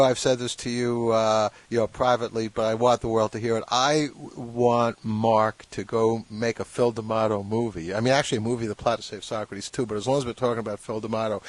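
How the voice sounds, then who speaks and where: A man's voice: mid-range voice, steady tone, brisk, no echo. A man speaking as a podcast host, chatty and emphatic. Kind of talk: casual interview and scripted podcast segments.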